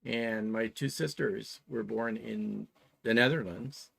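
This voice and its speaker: A man in his sixties with a Canadian accent, chatting casually as a show co-host and explaining plans in a halting, methodical way. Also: fairly low pitch, vocal fry, a bit bright, slightly rough. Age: 60s.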